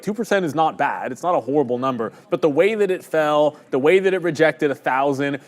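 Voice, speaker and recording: A man on a live trading stream, walking through his chart calls in a loose, rambling way. He pokes fun at his own mistakes as he goes. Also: fairly high pitch. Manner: rambling, conversational, self-deprecating. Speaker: day-trading stream host. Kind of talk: live market commentary.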